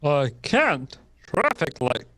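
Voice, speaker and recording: A woman playing a gruff but deferential carriage coachman in a radio play. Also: low-pitched, very animated, slow, fairly bright tone, full character voice. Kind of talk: old-fashioned radio play acting.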